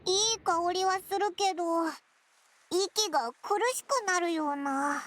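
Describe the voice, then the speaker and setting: Very high, childlike sidekick. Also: female voice, a little slow, a bit bright. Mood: uneasy. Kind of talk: Japanese game dub acting.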